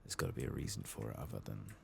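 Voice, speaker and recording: A soft-spoken man doing an ASMR game playthrough, hushed and sometimes whispering, musing in a reflective, hesitant way as he plays, close to the microphone. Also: rumbling low, racing, gravelly, a little hiss or hum. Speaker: ASMR gaming video host.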